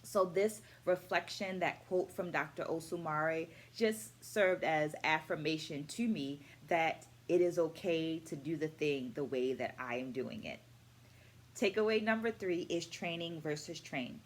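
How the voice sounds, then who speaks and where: A middle-aged woman presenting a scripted book review to camera as an educator, in a measured, articulate way. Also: low voice. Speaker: host, dance educator. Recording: clearly noisy room ambience.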